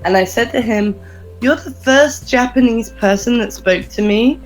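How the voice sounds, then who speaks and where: A young Englishwoman, a teacher asking interview questions in a slow, patient, encouraging way. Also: fairly high pitch, soft background music, rough recording. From England. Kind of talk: casual conversational interview over a call.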